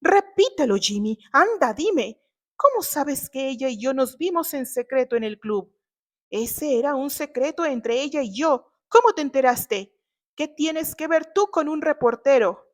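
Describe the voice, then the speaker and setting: A woman's voice: sits high, expressive, measured pace, pitched above the performer's own voice. A woman voicing a proud, wronged young man in a dramatized novel reading, challenging and indignant. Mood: suspicious, confronting. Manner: challenging, interrogating.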